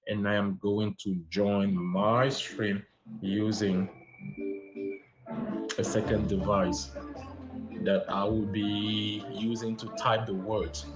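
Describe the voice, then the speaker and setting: Man, native French speaker, livestream language teacher, lowish voice, upbeat, greets viewers by name, roomy sound, music behind. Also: level tone, takes it slowly, long pauses. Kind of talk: casual livestream lesson.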